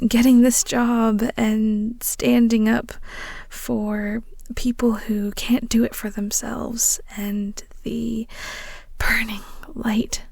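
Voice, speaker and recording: A young woman acting in an audio roleplay as an awkward, fond best friend with a hidden crush, speaking haltingly and bashfully with giggly hesitations. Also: on the high side, darkish tone, dry recording, exaggerated voice.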